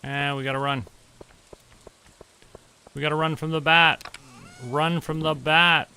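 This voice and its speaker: A man's voice: fairly high pitch, flat delivery, measured pace, sharp tone, a little hiss or hum. A man chatting casually to himself as he plays a game on stream. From Canada. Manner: talks to himself while playing. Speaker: streamer playing a farming game.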